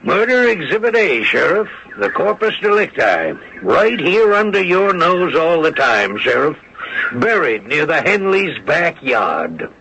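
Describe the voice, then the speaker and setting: An actor playing a smug, needling man with a creaky voice in an old-time radio drama. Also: on the high side, very animated, unhurried, dull audio, noisy background, full character voice. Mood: gloating.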